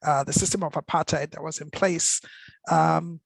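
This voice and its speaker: Higher-pitched man, gravelly, even intonation. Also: moderate pace, dry recording. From Zimbabwe and South Africa. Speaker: panelist. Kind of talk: personal introduction in a panel discussion.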